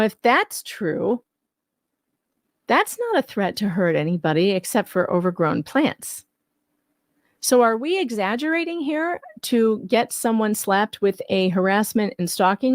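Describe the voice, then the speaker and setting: Woman doing live case commentary, mid pitched voice, dry sound, kinda argumentative and skeptical, hammers her points. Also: dry recording. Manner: argumentative, skeptical, emphatic, repeats points for stress. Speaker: livestream commentator and video host. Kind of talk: casual live commentary on a legal case, reading claims aloud and rebutting them.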